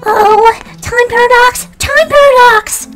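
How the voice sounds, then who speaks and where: A woman voicing an earnest, anxious young boy fox sidekick in a cartoon parody, with a squeaky childlike voice. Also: steady tone, slow pace, rough recording. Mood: panicked. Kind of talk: comedic cartoon parody voice acting. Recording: music behind.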